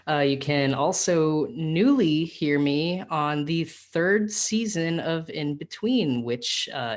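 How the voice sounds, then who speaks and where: An audio drama performer with a middle-pitched voice and a slow pace. The talk is casual and thoughtful, with halting phrases, as on a relaxed panel. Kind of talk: casual chat plugging projects.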